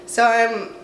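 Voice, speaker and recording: Young woman with a stuffy cold voice rambling on about her coffee, kinda high, roomy echo. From southwestern United States. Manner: chatty, rambling, self-mocking. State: congested from a cold. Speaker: vlogger. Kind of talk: casual chat. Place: at home. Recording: roomy echo, faint room noise.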